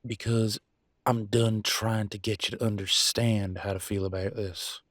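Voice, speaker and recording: A man playing a conflicted werewolf pack alpha in an audio roleplay, arguing emphatically in colloquial, sweary speech with dramatic pauses. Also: on the low side, little pitch movement, a bit bright, no room sound, clean studio sound. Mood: pleading. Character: Damien, conflicted male werewolf pack alpha, defiant and remorseful. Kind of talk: scripted audio roleplay, one side of an argument.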